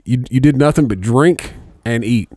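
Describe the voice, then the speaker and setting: A man's voice: mid-range voice, moderate pace, darkish tone, no echo, studio quality. A man in his thirties hosting a podcast, swearing freely, laughing often and stammering through restarts. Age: 30s. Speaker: podcast host. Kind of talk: crude casual banter.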